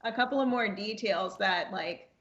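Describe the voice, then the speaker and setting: A woman's voice: mid-range voice, normal speed. Young woman in a dull, roomy recording. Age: 20s. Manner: animated retelling with quoted dialogue and repeated phrases. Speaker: podcast guest, amateur voice actress. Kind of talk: casual anecdotal storytelling.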